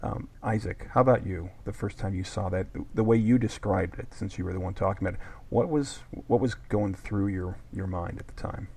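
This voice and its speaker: A man hosting an interview podcast, with a gravelly, husky voice, asking reflective questions. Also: low voice, brisk, mellow. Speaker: podcast host.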